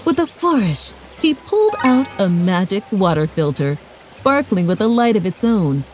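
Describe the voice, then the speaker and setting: A woman's voice: medium pitch, sing-song, normal speed, music in the background, rough recording. A woman reading a scripted children's story aloud as narrator, expressively, for young children.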